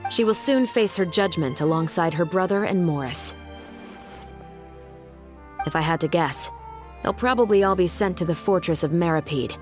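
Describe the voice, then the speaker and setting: A woman acting a resolute young female officer of the law in a game cutscene. Her voice sits fairly low and rings with long reverb over music, every sentence measured, earnest and formal. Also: natural voice. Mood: calm, thoughtful. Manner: earnest, deliberate, formal speech.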